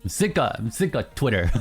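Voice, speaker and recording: A streamer in casual live-stream chatter, teasing chat and answering in mock outrage between low, mumbled musings. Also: sing-song, moderate pace, slightly rough, faint music behind. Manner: reacts to chat, teasing, mock-indignant bursts.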